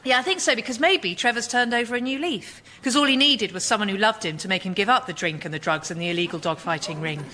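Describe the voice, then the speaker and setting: A woman's voice: medium pitch, animated, fast talker, hardly any pauses, background noise, close to an everyday voice. An actress playing an anxious, tired, self-deluding woman in therapy in a radio comedy sketch. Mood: dithering.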